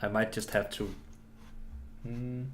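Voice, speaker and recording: A man's voice: fairly low pitch, level tone, slow pace, slight background noise. A man from Denmark, a native Danish speaker, chatting politely and casually while streaming a game, often restarting his words. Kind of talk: casual live-stream chat while gaming. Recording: game music playing behind.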